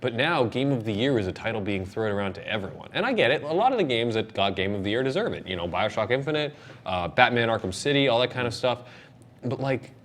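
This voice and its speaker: A man's voice: medium pitch, fast. A young man hosting a casual panel discussion, speaking emphatically and with strong opinions, recorded in a noisy room. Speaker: host of a video game discussion show. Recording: noisy room recording, steady background noise.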